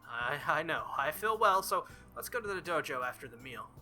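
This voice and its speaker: A man's voice: on the high side, very animated, normal speed, bright, forceful tone, soft background music, heavily acted. A man voicing an earnest, flustered teenage visual novel hero in a hesitant, stammering, insistent way.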